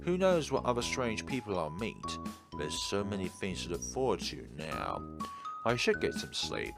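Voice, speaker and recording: A man's voice: fairly low pitch, wildly swooping pitch, normal speed, gravelly, over loud music. A man hosting a gaming video, reading a visual novel aloud and commenting casually.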